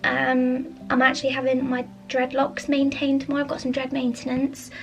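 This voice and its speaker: A woman chats to her camera in a studio, voice high and gravelly with a croak from a chest infection. She talks casually with lots of ums and quiet asides, light music faint behind her. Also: monotone, normal speed. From England. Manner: chatty, with many ums, soft asides. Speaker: vlogger, artist. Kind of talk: casual studio vlog chat. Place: art studio indoors.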